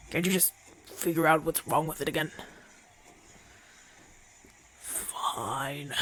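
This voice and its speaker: A man's voice: fairly high pitch, fairly bright tone, slight background noise, poor audio. A man playing a proud, impatient, flirtatious champion gladiator in pain, brusque and grumbling, in an ASMR audio roleplay. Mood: grudging. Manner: brusque, demanding, grumbling, sighing through pain.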